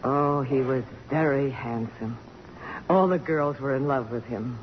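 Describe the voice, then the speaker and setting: A woman's voice: extremely low pitch, a little slow. An actress playing a polished, commanding middle-aged woman of accomplishment in 1950s radio drama style. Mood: wistful. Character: poised, strong-willed middle-aged woman, accomplished author and dignitary. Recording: dull, noisy vintage radio recording.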